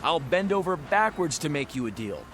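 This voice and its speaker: A man's voice: a little high, sing-song, moderate pace, fairly bright tone. An actor playing a glib, pushy used-car salesman in a radio drama, with florid, bombastic patter. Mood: smooth, cajoling.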